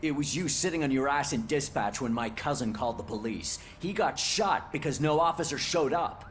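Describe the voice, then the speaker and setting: An actor playing a bullying, hot-headed police officer in a video game, accusing and taunting. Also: male voice, mid-range voice, expressive, moderate pace, background noise, lightly acted. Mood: angry, taunting.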